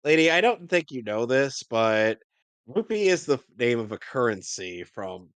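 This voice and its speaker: A man's voice: mid-range voice, sing-song, normal speed, a bit bright, smooth, dry recording. A man co-hosting a show, giving casual, joking commentary on a cartoon.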